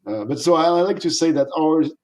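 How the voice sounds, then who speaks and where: A man's voice: fairly high pitch, a little fast. A middle-aged man from France with a French accent, a company founder talking animatedly in a casual interview and laughing often. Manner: animated, laughs often, acts out quoted voices.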